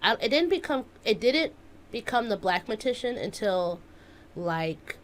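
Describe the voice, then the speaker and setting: A woman in her twenties, a math teacher chatting casually as a guest, telling stories in an animated way. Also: medium pitch, measured pace, slight background noise. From United States. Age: 20s. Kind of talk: casual interview chat.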